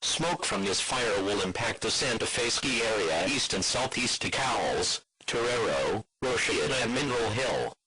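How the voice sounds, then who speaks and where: Male text-to-speech announcer, lowish pitch, formal and flat, for scripted emergency broadcast alerts. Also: normal speed, hardly any pauses, over a noisy crowd, rough recording.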